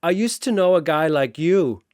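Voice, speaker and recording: A man's voice: on the high side, expressive, normal speed, darkish tone, no echo, studio quality, voice pushed up, close to an everyday voice. A man voicing a cheerful, naive, friendly peacemaker in a story, chirpy and earnest.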